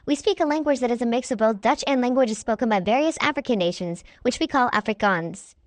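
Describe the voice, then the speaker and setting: A woman's voice: fairly high pitch, a little fast, no room sound, natural voice. Woman as proud, close-miked Boer puppet. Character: proud female Boer puppet explaining her culture. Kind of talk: scripted amateur puppet show dialogue.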